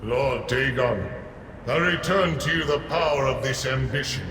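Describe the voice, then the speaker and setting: Slow, menacing cult villain. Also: male voice, mid-range voice, vocal fry, very noisy. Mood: triumphant. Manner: taunting, grandly theatrical. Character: smug, menacing male cultist villain, calmly ruthless. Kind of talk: fantasy video game dialogue. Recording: game music under the voice.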